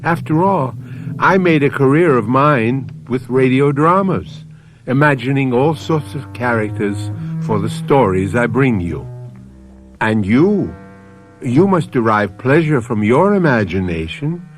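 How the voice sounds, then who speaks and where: A man hosting a radio mystery drama, introducing the story in a deliberate, scripted storytelling manner. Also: medium pitch, expressive, soft background music.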